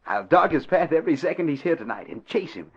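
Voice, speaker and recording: A man's voice: a little high, very animated, fast talker, a bit bright, breathy edge, heavily acted. A man playing a smarmy, teasing sweet-talker with theatrical flourishes in an old radio drama. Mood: mocking sweet-talk. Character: smarmy, mocking smooth-talking man sweet-talking his partner. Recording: dull vintage radio recording.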